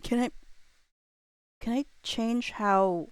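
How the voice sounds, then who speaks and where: A woman's voice: a little high, slow pace, clear, resonant tone, no echo. A woman livestreamer chatting casually and thinking aloud, with a playful, self-deprecating and hesitant manner, muttering to herself as she works.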